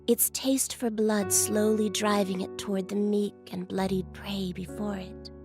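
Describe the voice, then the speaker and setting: A woman giving grim, scripted narration for a game in a measured, ominous storytelling manner. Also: medium pitch, flat delivery. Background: game music.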